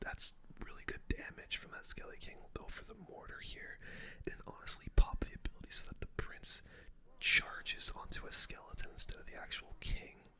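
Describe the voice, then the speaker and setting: A person whispering casual, slangy play-by-play as an ASMR gaming host, in a breathy unvoiced whisper close to the microphone. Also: very high voice, sharp tone. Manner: casual slangy play-by-play, muttering through moves.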